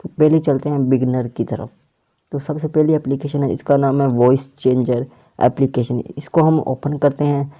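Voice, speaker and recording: A man's voice: mid-range voice, fairly even intonation, moderate pace, warm tone. A man presenting a casual step-by-step app tutorial, often repeating and rephrasing his instructions. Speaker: tutorial host. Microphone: clip-on or plugged-in microphone. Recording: dull, muffled lo-fi microphone.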